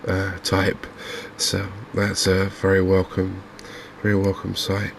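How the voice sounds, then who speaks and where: A soft-spoken man giving unhurried ASMR commentary on a video game. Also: sits low, completely flat delivery, creaky, slight background noise. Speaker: gaming video host.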